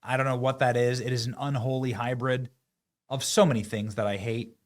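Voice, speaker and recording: A man's voice: mid-range voice, flat delivery, fairly quick. A man hosting online political commentary, talking in a mocking, sarcastic and emphatic way.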